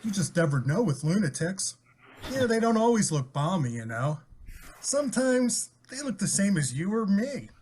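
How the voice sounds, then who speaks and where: A man's voice: high-pitched, big pitch swings, moderate pace, darkish tone. An actor as a talkative, earnest young working man in an old-time radio drama. His voice moves up and down freely, over faint noise. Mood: chatty.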